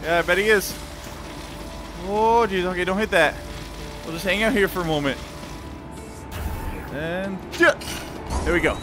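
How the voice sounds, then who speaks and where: A man giving excited live gameplay commentary in short, exclamatory bursts, talking to himself as he plays. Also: sits high, a little slow, pauses often. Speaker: gamer playing and commenting. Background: game music.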